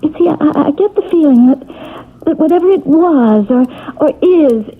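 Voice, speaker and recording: A desperate, stammering wife. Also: female voice, high-pitched, lively intonation, normal speed. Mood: distressed. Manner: hesitant, stammering, distressed. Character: tormented married woman, anxious and desperate. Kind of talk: 1970s radio drama acting. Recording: tinny old radio broadcast.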